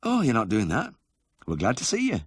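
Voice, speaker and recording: A man's voice: mid-range voice, huge pitch swings, fairly quick, husky, dry recording, clean studio sound, voice pushed up. A man voicing an eager, hospitable working-class wife with a South Yorkshire accent in a story reading. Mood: eager, friendly. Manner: friendly, homely, earnest.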